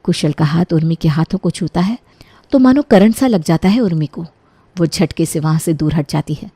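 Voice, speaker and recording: A woman's voice: fairly low pitch, normal speed, warm tone, slightly rough, no echo. A woman reading a scripted dramatic story as its narrator.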